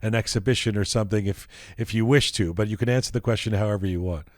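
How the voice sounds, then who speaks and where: Male host, lowish voice, warm teasing interviewer, stammering restarts, dry sound. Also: fast talker, no echo. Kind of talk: conversational interview.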